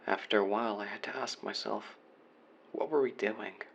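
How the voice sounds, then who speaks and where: A man acting out a remorseful young man in a voicemail roleplay, speaking low with a gravelly fry. His delivery is earnest and confessional, staying flat and subdued, over faint background noise. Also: moderate pace, lo-fi sound. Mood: regretful, subdued. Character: remorseful young man apologizing to his former best friend. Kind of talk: scripted audio roleplay, voicemail monologue.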